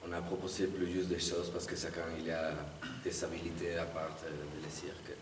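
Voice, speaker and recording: A very deep-voiced man from Spain speaks French with a Spanish accent, halting as he searches for words. He sounds far from the microphone, in an echoey hall with clear background noise. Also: little pitch movement. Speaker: circus juggler. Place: theatre hall. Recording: echoey hall, distant mic, audible noise.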